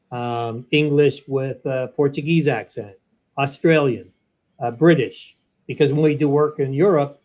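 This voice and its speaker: A man, a guest in an interview, explaining a software product in an animated way, walking through each step. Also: medium pitch. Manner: animated, walks through steps one by one. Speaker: guest, sales training software executive.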